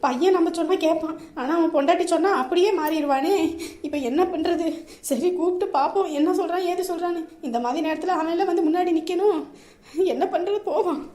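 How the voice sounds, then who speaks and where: A woman's voice: very high voice, quick pace, warm tone. A woman playing a protective older village mother in a family drama, fussing and plain-spoken. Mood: fretful grumbling. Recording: roomy, echoing sound.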